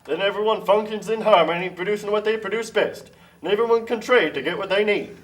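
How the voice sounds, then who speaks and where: A man voicing a pompous puppet-show pitchman for free trade, lecturing smugly like a salesman. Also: quick pace, distant mic, lightly acted, low-quality recording. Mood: smug, promoting. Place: community TV studio.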